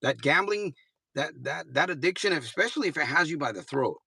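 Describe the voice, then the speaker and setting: A man's voice: fairly high pitch, animated, a little fast, gravelly, bright, forceful tone, husky, no echo, studio quality. A man in his fifties, a recovery coach giving an earnest motivational livestream talk, blunt and informal, repeating phrases for emphasis. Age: 50s. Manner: blunt and informal, swears casually.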